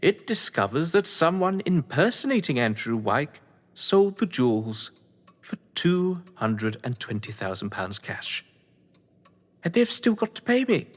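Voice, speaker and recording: In a long, hall-like reverb comes a man acting a pompous English schemer, a crime writer from an audio play. His voice sits on the high side and swings in pitch as he talks down to his listener with cunning relish. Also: heavily acted. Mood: relishing, sly. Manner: pompous, theatrical, condescending, elaborately wordy. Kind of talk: theatrical audio drama acting.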